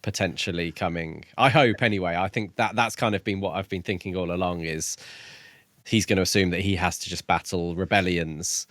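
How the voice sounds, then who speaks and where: A man's voice: sits low, steady tone, fairly quick, fairly bright tone, dry recording, studio quality. A man co-hosting a podcast, talking casually and reflectively as he pieces together an analysis of a book. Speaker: podcast co-host.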